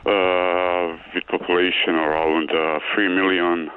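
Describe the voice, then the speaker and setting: Male phone interviewee, Bosnian accent, very low voice, polite and concise, dull line sound. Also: little pitch movement, takes it slowly, a little hiss or hum. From Bosnia and Herzegovina. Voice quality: deep voice. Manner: brief, polite answers. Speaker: embassy worker, phone interviewee. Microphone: phone call.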